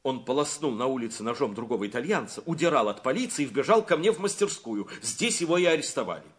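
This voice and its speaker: A man's voice: mid-range voice, very animated, fast, lightly acted. A man acting an indignant, respectable workshop owner in a Russian audio play, speaking emphatically and repeating words.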